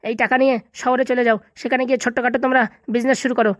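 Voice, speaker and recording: Man playing a fast, self-important crooked politician. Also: very high voice, little pitch movement, a bit bright, no echo, clean studio sound. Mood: bossy, scheming. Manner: bossy, boastful, repeatedly checking he is understood. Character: vengeful, self-important corrupt male local politician. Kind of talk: comedy cartoon dialogue.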